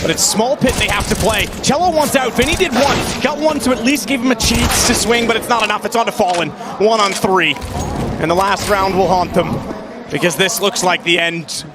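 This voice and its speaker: A man's voice: sits high, normal speed, background noise. A man delivering dramatic, intense live play-by-play as an esports commentator. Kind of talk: live play-by-play commentary on a Counter-Strike match.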